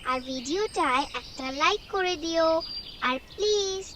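A woman presents to young viewers in a scripted, friendly-address style. Her voice is high, and clear background noise sits around it. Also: lively intonation, a little slow. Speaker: channel presenter.

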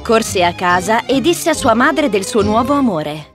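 A woman narrates a children's fairy tale as storyteller. Her voice is fairly high, reading from a script with clear music playing behind. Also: sing-song, measured pace.

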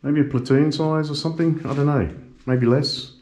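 A man, vlogging casually as he explores and drives, thinking aloud and swearing at other drivers. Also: normal speed. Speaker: travel vlogger. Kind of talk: casual walking and driving vlog. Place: abandoned building, then inside a car. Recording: dull lo-fi camera audio with loud engine rumble.

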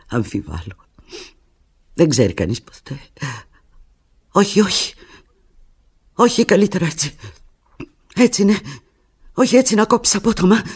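An actress playing an anguished, lovelorn woman pleading with her departing lover in a halting, volatile radio theatre monologue. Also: lowish voice, husky. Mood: anguished farewell.

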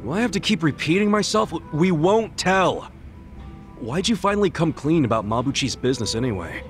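A man's voice: fairly high pitch, very animated, fairly quick. An actor voicing a good-natured, plain-spoken, loyal man in a video game, talking casually. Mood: exasperated. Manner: casual, colloquial. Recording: music behind the voice.

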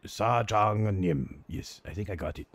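A man roleplaying in a game, speaking in a halting way and stumbling over foreign words. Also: lowish voice, animated, no echo. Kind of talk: in-character roleplay chat.

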